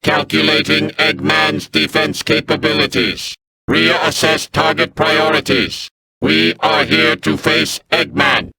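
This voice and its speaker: A relentless battle robot gives methodical commands in a flat, slow monotone. The voice is sharp and buzzy with robotic processing, over a faint layer of noise. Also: male voice, a little high, gravelly, full character voice, low-quality recording. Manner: clipped, flat, mechanical. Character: cold, militant combat robot, methodical and relentless. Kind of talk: video game character voice lines.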